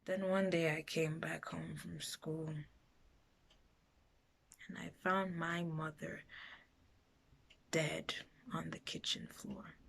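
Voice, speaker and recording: A woman performs a frightened foster girl confiding her hurt in a dramatic monologue. Her pace is very slow and halting, with a medium-pitched voice full of bitterness and raw emotion. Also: a bit bright, full character voice. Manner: halting, emotional, breaking into whispers and raised outbursts.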